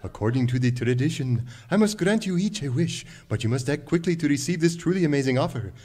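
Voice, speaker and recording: A towering genie for a radio serial spoof. He declaims in a grand, officious manner. The pitch is highish and swoops dramatically. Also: animated, fast talker, mellow. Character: booming, huge male genie, officious game-show pitchman. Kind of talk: old-time radio serial parody.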